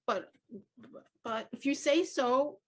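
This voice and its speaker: Woman improvising a jittery little sister, high-pitched, hesitant delivery. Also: takes it slowly. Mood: anxious. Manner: anxious, hesitant, stammering. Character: nervous younger sister before a date.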